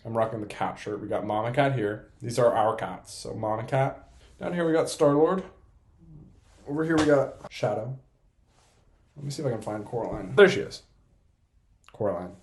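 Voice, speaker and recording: A young man chatting casually to camera as a vlogger, playful and self-deprecating in an excitable way. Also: medium pitch, normal speed, long pauses, echoey room. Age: young adult. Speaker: vlogger and college program applicant.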